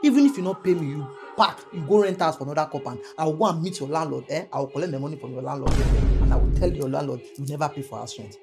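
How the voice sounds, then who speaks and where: A man with a Nigerian accent playing an excitable young landlord in a comedy skit, flustered and loud. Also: a little high, very fast, vocal fry, hardly any pauses. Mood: indignant. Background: music.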